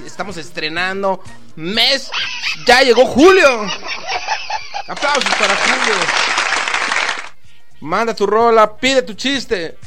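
A Mexican man hosting a live online radio show, rambling through shout-outs and jokes in a chatty, loose way. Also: high-pitched. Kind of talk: casual live radio chat with greetings. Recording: faint music in the background.

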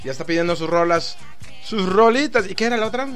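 High bright chatty Mexican man. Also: fast. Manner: chatty, joking, rambling shout-outs. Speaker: online radio host. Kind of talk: casual live radio chat with greetings. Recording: faint music in the background.

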